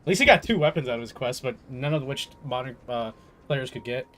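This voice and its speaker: A man's voice: mid-range voice, expressive, fairly quick, a bit bright. A man giving casual gameplay commentary, musing aloud and rambling as he plays. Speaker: game streamer. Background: game music.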